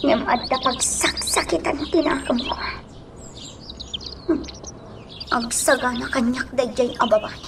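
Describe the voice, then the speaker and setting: A woman's voice: high-pitched, far from the mic, noisy background. A woman acting a lovesick, brooding grown daughter in an Ilocano radio drama, plaintive and subdued. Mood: sad, plaintive.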